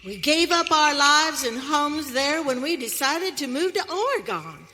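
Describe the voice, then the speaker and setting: A woman's voice: high voice, very animated, sharp tone, exaggerated voice. A woman playing a feisty pioneer wife in a western radio play, with an American accent and folksy, emphatic frontier speech. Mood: indignant. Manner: folksy frontier dialect, emphatic, drawn-out delivery. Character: Maggie, feisty pioneer wife on a wagon train. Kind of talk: live western radio theater acting. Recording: hall reverb, faint noise.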